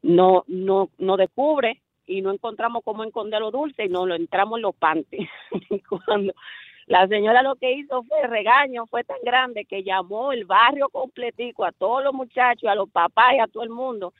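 A woman calling in to a radio show, telling her story with lively energy. Her voice is fairly high and comes through a thin phone line. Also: normal speed, no echo. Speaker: caller.

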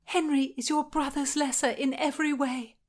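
A woman voicing a frightened yet defiant, passionate young pregnant noblewoman in a period audiobook, pleading and at times breathy. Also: sits high, fairly even intonation, moderate pace, pitched above the performer's own voice, natural voice.

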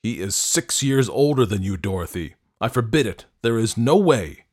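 A man's voice: deep voice, normal speed, slightly rough. A man voicing a stern, angry father in an audiobook, pounding out his words emphatically. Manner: pounding each word separately, emphatic. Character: angry, stern, forbidding father of a teenage girl. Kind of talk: audiobook character acting.

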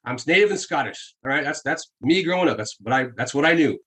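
A man's voice: a little high, animated, quick pace, sharp tone, slightly rough. A man chatting casually on a podcast, telling stories with frequent laughs and swearing. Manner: storytelling, swears freely, laughs often.

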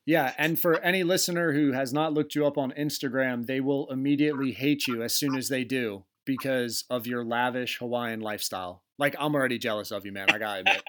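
A man's voice: mid-range voice, brisk, a bit bright, slight background noise. A man hosting a podcast over a video call, laid-back and casual, swearing and laughing easily. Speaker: podcast host. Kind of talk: casual interview chat. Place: home in Delaware.

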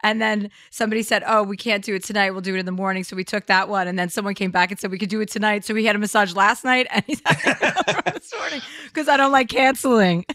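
A woman's voice: mid-range voice, fast talker, hardly any pauses, fairly bright tone, no room sound, studio quality. A woman hosting a podcast, chatting casually and joking with her guest from a house on a trip. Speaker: podcast host. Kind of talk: casual chat.

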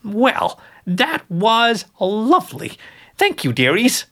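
Old female witch, cheerful and sly, gushing "dearie" type, put on by a male narrator; high and lively. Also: slow, heavily acted. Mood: doting. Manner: gushing, calls everyone dearie.

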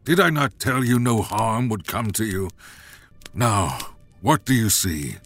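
An actor playing a desperate, frightened king in a dramatized Bible story, halting and trembling. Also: fairly low pitch, lively intonation, a bit bright, faint music behind. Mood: uneasy. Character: anguished, desperate, frightened king seeking guidance.

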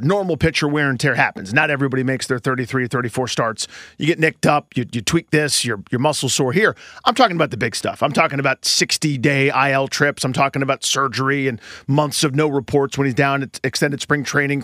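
A man's voice: a little high, a bit bright, no echo, studio quality. A man co-hosting a sports podcast, giving emphatic unscripted analysis and repeating points for emphasis.

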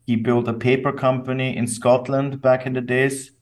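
A young man from Austria, raised speaking English and German, answers questions thoughtfully and carefully, pausing and restarting as he goes. His voice sits at an average pitch for a man. He is picked up from a little way off the mic on what sounds like a remote call. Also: level tone, darkish tone, distant mic. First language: German and English, bilingual. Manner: thoughtful, measured, with fillers and restarts. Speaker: company co-founder, guest. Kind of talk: casual interview answers.

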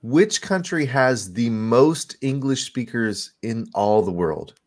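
A man's voice: medium pitch, lively intonation, slow pace. A man co-hosting a casual podcast, asking questions in a curious, quizzing way. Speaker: podcast co-host.

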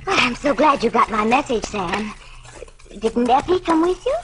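A woman's voice: a little high, very animated, moderate pace. An actress playing a sweet, naive, chatty older motherly lady in a 1940s radio drama, fluttery and polite. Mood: fluttery, cheerful. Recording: noisy old 1940s radio recording.

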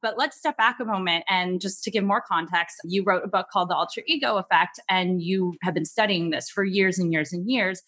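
A woman's voice: on the low side, fairly quick, few pauses, a bit bright. A woman hosting an interview, asking questions with a teasing, playful manner. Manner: teasing, laughs easily. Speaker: podcast host. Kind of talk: casual interview.

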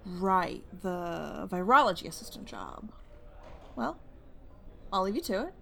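Hesitant lab woman: unhurried, creaky voice, audio drama, faint noise. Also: on the low side, sing-song, pushed and bright, heavily acted. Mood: polite surprise. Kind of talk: naturalistic audio drama acting.

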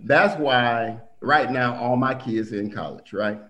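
A middle-aged man telling family stories in a casual conversation, animated and acting out quotes. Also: a little slow. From Milwaukee. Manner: animated storyteller, acts out quotes with big pitch jumps.

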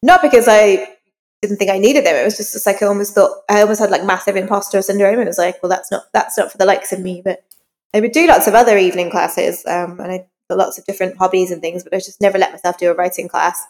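A woman's voice: medium pitch, fairly even intonation, fast, a little mellow. A woman speaking as an interview guest, informal and self-deprecating, telling personal anecdotes in a conversational way. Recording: long reverberant hall echo.

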